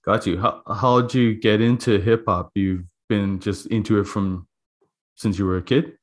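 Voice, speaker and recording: A man in his forties hosting an interview podcast, talking reflectively with frequent pauses and fillers. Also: lowish voice, steady tone. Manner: reflective, halting, many fillers. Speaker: podcast host. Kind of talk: casual interview talk. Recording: echoey room.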